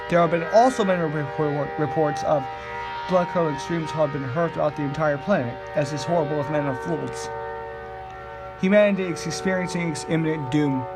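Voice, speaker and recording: A man reading a solemn amateur emergency broadcast as announcer, in a grave, deliberate, halting way. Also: on the high side.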